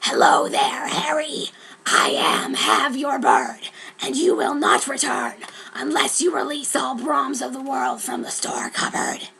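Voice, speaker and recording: A woman voicing a menacing male broom out for revenge, in a slow, harsh, breathy whisper for a dramatic storybook reading. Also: high voice, very animated, sharp tone, full character voice. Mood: sinister. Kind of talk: dramatic fan fiction reading.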